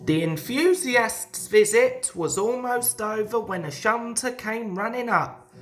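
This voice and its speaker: A woman narrating a scripted children's story as an expressive read-aloud. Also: on the high side, slow pace, soft background music. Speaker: storybook reader.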